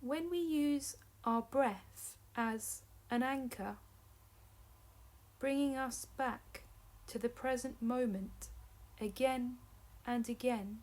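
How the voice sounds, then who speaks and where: A woman narrating a guided meditation as a teacher, in measured short phrases separated by long pauses. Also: a little high, darkish tone.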